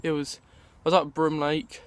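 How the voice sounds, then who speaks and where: A man's voice: fairly high pitch, completely flat delivery, normal speed. Chatty young man filming by a river. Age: young adult. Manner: chatty, rambling, addresses viewers as guys. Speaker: fishing vlogger. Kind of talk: casual outdoor vlog. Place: riverbank outdoors in winter. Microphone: handheld camera at arm's length.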